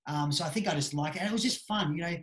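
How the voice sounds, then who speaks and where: A man's voice: fairly high pitch, very fast, fairly bright tone. An Australian man in his thirties chatting casually as an interview guest, easygoing with lots of fillers. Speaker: guest, judo coach. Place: small room at home. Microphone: webcam video call. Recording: echoey room.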